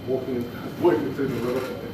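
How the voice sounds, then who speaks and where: A man with a New Zealand accent presents technical training in an informal, plain-spoken way. He is picked up from far off in a very reverberant room, with clear noise behind him. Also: medium pitch, level tone, normal speed. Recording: echoey room, distant mic, noisy.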